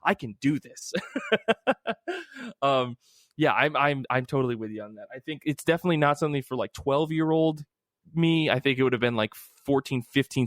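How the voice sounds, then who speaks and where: A man's voice: mid-range voice, no echo, studio quality. A man hosting a casual podcast chat about comic books, speaking in an effusive, emphatic way with casual swearing. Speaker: podcast host.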